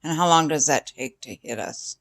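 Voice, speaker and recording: A woman's voice: low voice, normal speed. An older woman in casual chat, asking curious questions and giving short reactions. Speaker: interviewer questioning the other speaker.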